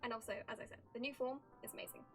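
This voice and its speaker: A woman's voice: on the high side, steady tone, very fast. A woman giving casual, playful live commentary as she streams a story game, with chatty, sarcastic asides. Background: faint game music.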